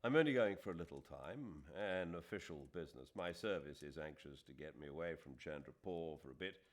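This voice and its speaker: A man voicing a reasonable, wry English official in a novel, with measured formal speech and a British accent. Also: extremely low pitch, expressive. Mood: dry, wry. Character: dry, reasonable English official, loyal friend.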